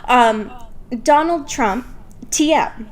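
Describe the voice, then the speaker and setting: Young woman, highish pitch, podcast co-host, casual, playful, giggly, informal. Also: takes it slowly. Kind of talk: casual comedic fan podcast chat.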